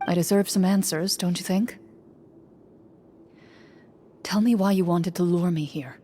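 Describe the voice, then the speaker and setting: An actress playing a composed, stern champion duelist in a video game, with a measured, formal and cool delivery. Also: female voice, lowish voice, fairly even intonation, mellow, slight background noise, close to an everyday voice. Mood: questioning.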